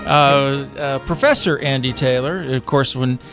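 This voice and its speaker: A man's voice: mid-range voice, unhurried, fairly bright tone, faint music behind. A man guest-hosting a radio talk show, interviewing in a folksy, joking way with frequent uh fillers.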